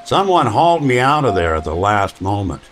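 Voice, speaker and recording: A low-voiced world-weary noir detective. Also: male voice, sing-song, moderate pace, over music. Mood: brooding, wry. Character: weary hard-boiled male synthetic detective, wry, brooding. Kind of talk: old-time radio drama acting.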